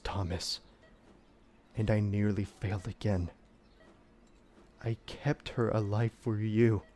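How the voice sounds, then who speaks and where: A man playing a solemn, dutiful demon servant in an audio drama, speaking in formal, measured, old-fashioned phrasing. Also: on the low side, a little mellow, close to an everyday voice. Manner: formal, measured, archaic phrasing without contractions.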